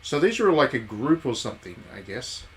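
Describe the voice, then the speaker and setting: A man's voice: mid-range voice, very animated, brisk. Male game streamer, mid-range voice, informal and jokey chat with viewers, light noise behind. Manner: chatty, jokey, addressing viewers by name. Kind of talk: casual livestream commentary, reading game text aloud.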